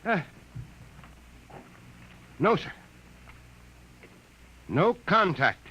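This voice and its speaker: Anxious, high-voiced sergeant. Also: male voice, drawn-out words, slightly rough, highs cut off, heavily acted. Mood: guarded. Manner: excitable, earnest. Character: anxious younger male space-service sergeant. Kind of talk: 1950s radio drama acting. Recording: very noisy old radio broadcast.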